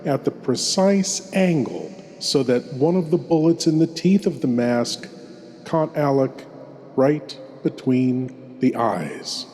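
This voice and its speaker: A man playing a sardonic, macabre horror host, speaking in a deliberate, ironic and theatrical way. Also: medium pitch, a little mellow, natural voice. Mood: mock reassuring. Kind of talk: theatrical horror show hosting. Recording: long cavernous reverb.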